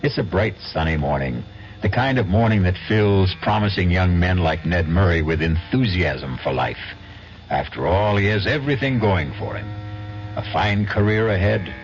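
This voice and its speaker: Deep, theatrical male radio narrator. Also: a little hiss or hum. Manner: theatrical, wry. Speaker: radio drama host and narrator. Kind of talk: scripted dramatic narration. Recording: dull 1970s radio broadcast. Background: music.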